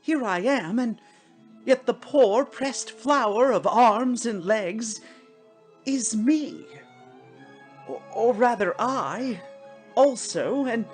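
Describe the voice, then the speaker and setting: A woman voicing a fussy, bewildered, stammering gentleman ghost in a storybook reading. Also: fairly high pitch, pitched above the performer's own voice. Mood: bewildered, indignant. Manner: stammering, flustered, prone to exclaim. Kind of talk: theatrical storybook character voice.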